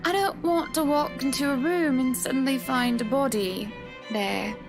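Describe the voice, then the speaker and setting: Haughty high-voiced society hostess. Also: female voice, normal speed. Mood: teasing, arch. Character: haughty married society hostess, teasing and polite. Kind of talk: visual novel voice acting. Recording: music behind the voice.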